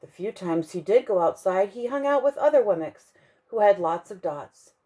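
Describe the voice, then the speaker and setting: A woman reading a bedtime story aloud for children, her voice rising and falling with plenty of life. She is expressive and stretches out key phrases for emphasis, in a middle pitch. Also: moderate pace.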